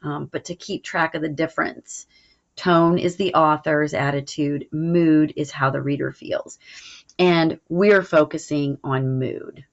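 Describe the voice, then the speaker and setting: A woman's voice: low-pitched, fairly even intonation, a little slow, vocal fry. A woman teacher explaining a recorded lesson in an informal way, checking in as she goes. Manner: informal, explanatory, frequent "all right" check-ins. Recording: dull, lo-fi audio.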